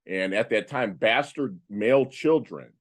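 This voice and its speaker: A man's voice: fairly low pitch, a little slow. Fifty-year-old man telling his story. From Philippines. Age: 50s. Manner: animated storytelling with frequent fillers and restarts. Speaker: podcast guest. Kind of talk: casual interview talk.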